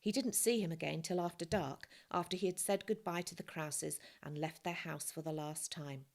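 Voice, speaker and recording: Low, gravelly woman reading a story. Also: steady tone, moderate pace. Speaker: narrator reading a children's novel aloud. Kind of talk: scripted story narration.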